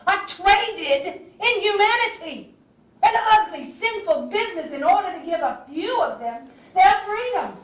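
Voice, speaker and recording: A woman acting an impassioned Southern abolitionist lady spy in a Civil War stage play, delivering a theatrical monologue to the audience with dramatic pauses. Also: very high-pitched, far from the mic. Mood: indignant, anguished. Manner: theatrical, declamatory, with dramatic pauses and rhetorical questions. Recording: echoey stage recording from afar, faint noise.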